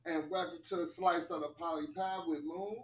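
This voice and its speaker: Slangy playful man co-host, a bit high voiced, echoey room, distant mic. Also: fairly even intonation, fairly quick. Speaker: podcast co-host. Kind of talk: casual chat.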